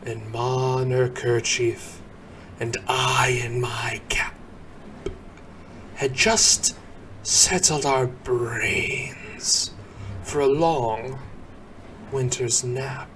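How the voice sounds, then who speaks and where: A man giving a dramatic recitation of a poem, theatrical, moving between hushed murmurs and booming exclamations. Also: fairly even intonation, very slow, pauses often, slight background noise. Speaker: poem reader.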